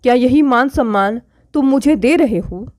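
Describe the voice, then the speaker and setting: High-voiced, indignant wronged wife, read by a woman. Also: normal speed, a little mellow, close to an everyday voice. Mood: indignant, hurt. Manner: indignant, challenging, rhetorical questioning. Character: proud, wronged modern wife confronting her husband.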